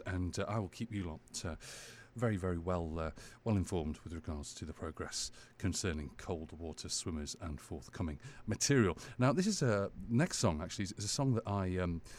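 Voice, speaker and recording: Low-voiced hesitant radio presenter man. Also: slight background noise. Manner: hesitant, many ums and repeated words. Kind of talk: casual radio link between songs.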